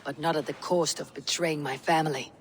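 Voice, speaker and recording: A woman's voice: sits low, steady tone, fairly quick, slight background noise, lightly acted. Blunt Viking warrior woman, acted by a woman. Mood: guarded, firm. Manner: blunt, guarded. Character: proud, loyal adult Viking woman warrior. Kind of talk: dramatic video game cutscene acting.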